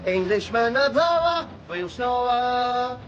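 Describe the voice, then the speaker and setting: An actor playing a cheeky London card-trick hustler in a radio drama, with brash, chatty street patter. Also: male voice, very high voice, lively intonation, slow pace, lo-fi sound, background noise, exaggerated voice. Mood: cheeky, eager.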